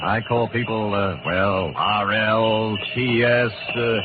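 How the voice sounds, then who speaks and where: An actor playing a wry, wisecracking private detective in an old-time radio noir, narrating and talking with dry, tongue-in-cheek delivery. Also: male voice, lowish voice, steady tone, slow, noisy background. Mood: dry, casual. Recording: tinny old radio, loud music.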